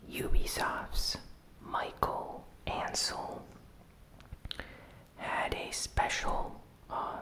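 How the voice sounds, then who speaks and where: Brief: ASMR news host, fully whispered, slow and deliberate, crisp bright hiss with some crackle. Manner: slow, soft, unvoiced whispering. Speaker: host of a gaming news channel.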